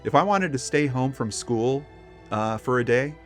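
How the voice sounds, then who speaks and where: A man's voice: medium pitch, lively intonation, moderate pace, faint music behind. A man co-hosting a casual discussion show, chatting and telling stories in an informal, skeptical way. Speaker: podcast co-host.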